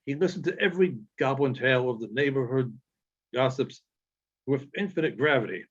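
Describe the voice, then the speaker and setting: A man reading literary narration aloud as an audiobook reader, in a measured storytelling manner with halting phrasing. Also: medium pitch, expressive, slightly rough, highs cut off.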